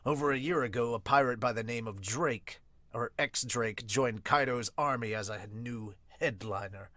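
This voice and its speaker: A man's voice: mid-range voice, moderate pace, fairly bright tone, close to an everyday voice. A man voicing a stern, indignant old tengu swordsmith with formal, archaic speech, reading a manga aloud. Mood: grave recounting. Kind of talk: manga read-aloud dub.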